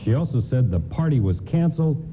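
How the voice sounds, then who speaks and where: A man's voice: mid-range voice, very animated, a little fast, natural voice. An older man acting in a nostalgic radio play as a patient, avuncular, reassuring 1950s department store doorman. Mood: casual banter. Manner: avuncular, reassuring, hesitating politely. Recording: lo-fi hissy radio play recording.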